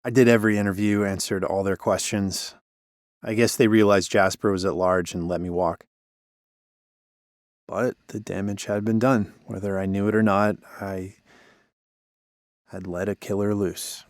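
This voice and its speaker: An actor playing a broken-down radio DJ, weary, sardonic and self-deprecating, in conversational audio drama dialogue. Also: male voice, fairly low pitch, flat delivery, normal speed, long pauses, dry recording, studio quality, natural voice. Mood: rueful, bitter.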